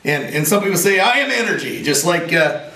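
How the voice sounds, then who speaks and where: A man in his forties with a raspy voice, an inventor talking in a casual, rambling and earnest way, sometimes joking about himself. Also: high-pitched, expressive, a little fast, sharp tone. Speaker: inventor and veteran interviewee. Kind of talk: casual interview talk. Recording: roomy echo, from a distance.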